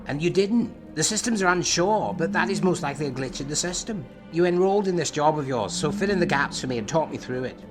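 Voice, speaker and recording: Man playing a measured, probing computerised therapist. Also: on the high side, lively intonation, natural voice. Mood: inquisitive. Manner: measured, formal, clinical. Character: probing, patient male computerised therapist consulting databanks. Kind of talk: scripted audio drama dialogue. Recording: clear music behind the voice. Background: music.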